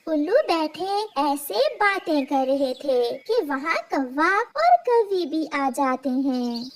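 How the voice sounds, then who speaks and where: A woman's voice: high voice, expressive, takes it slowly. A woman narrating a scripted children's cartoon story.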